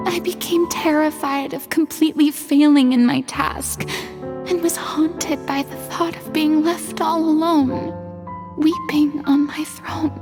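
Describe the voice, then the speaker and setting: Brief: a guilt-worn former water goddess, high with a gravelly edge, hushed confiding game dialogue over clear music. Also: female voice. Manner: soft, confessional, often half-whispered. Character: remorseful former water goddess, young woman. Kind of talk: video game voice acting.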